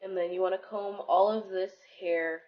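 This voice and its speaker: A woman's voice: medium pitch, fairly even intonation, moderate pace. A young woman with a congested voice from a cold, casually chatting through a hair tutorial with lots of fillers. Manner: chatty, filler-heavy, rambling. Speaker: beauty vlogger. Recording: dull lo-fi sound with background music. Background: music.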